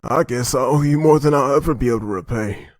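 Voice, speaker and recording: A man's voice: mid-range voice, fast talker, no room sound, clean studio sound, natural voice. A man playing a weary survivor, an anxious husband and father, in a dubbed comic drama. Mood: relieved, tender.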